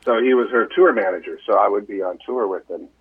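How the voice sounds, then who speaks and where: Husky American man on a muffled call. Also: fairly low pitch, fast talker. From United States. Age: middle-aged. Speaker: interview guest, musician. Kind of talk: casual chat.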